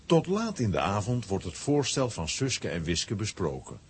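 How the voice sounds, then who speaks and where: A man with a husky voice narrating a scripted children's story. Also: lowish voice, big pitch swings, moderate pace.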